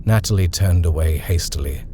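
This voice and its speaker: A man narrating a horror short story in a measured, expressive reading style. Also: deep voice, slight background noise.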